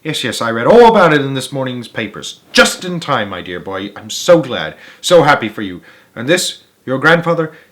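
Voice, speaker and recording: A man acts out an eccentric little chocolate-maker in a storybook. He is bubbly and excitable, gushing pleasantries in an exclamatory, slightly raised voice. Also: medium pitch. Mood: delighted. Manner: effusive, exclamatory, rapid strings of pleasantries. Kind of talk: storybook read-aloud character voice.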